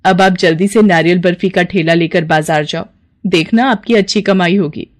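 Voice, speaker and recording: A woman voicing a devoted, gently encouraging wife in a cartoon story. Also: lowish voice, normal speed, natural voice. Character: devoted, encouraging wife who makes sweets.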